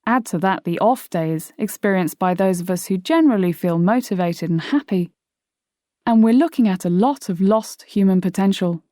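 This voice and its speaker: A woman's voice: medium pitch, normal speed, dark tone, no room sound. Woman narrating an audiobook, dry studio sound. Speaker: author reading own audiobook.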